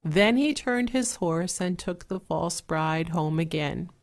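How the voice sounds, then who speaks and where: A soft-spoken woman narrating a fairy tale in a measured, relaxing way, with an 8D surround panning effect. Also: fairly low pitch, expressive. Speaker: storyteller.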